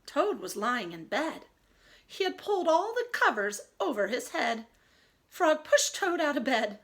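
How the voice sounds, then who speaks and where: A woman teacher reading a story aloud and giving simple instructions to young children, expressive and explanatory. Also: high voice, a little slow.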